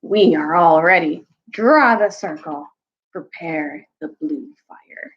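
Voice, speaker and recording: A woman voicing a grim, terse grey werewolf in a storybook reading, slow and menacing. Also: fairly low pitch, animated, husky, lo-fi sound, heavily acted.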